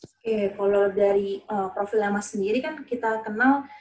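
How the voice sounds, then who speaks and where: A woman's voice: medium pitch, moderate pace, darkish tone. Woman interviewer in an echoey room. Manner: friendly prompting with short backchannels. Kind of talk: casual interview.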